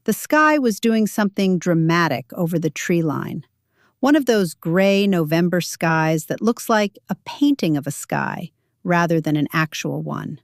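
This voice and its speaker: A woman's voice: fairly low pitch, animated, a little slow, no room sound. A woman narrating a scripted first-person story in a dry, wry manner.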